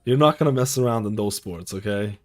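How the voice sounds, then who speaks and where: A man's voice: on the low side, a little fast, faint music behind. Rambling Lithuanian-born streamer guy. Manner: rambling, repeats himself, many fillers. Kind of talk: casual live-stream chat. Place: home streaming room.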